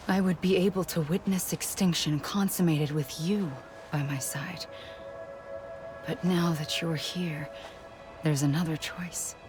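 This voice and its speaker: Resigned, sorrowful harbinger of extinction, video game drama, unhurried, breathy and solemn, prominent music underneath. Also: female voice, low-pitched. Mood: quietly pleading. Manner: hushed, breathy, solemn monologue. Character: sorrowful, resigned woman fated to bring extinction.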